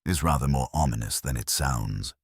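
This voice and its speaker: A male narrator plays a measured, shrewd inspector in a storybook mystery. He is quiet and deliberate, with an extremely low, husky voice. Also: no room sound, clean studio sound. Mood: thoughtful. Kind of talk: audiobook detective story dialogue.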